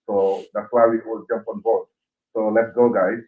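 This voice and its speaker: A man from Gambia introducing himself on a live online panel, relaxed with hesitant fillers. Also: lowish voice, moderate pace. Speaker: panel guest. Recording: tinny phone-like call audio.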